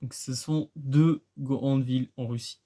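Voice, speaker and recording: Guy with a soft mellow voice doing a chatty explainer, asks questions then answers, repeats himself to make a point. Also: medium pitch, steady tone, measured pace. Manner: conversational, often repeats phrases for emphasis. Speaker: presenter of a radio-hobby video. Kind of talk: casual explainer narration with rhetorical questions.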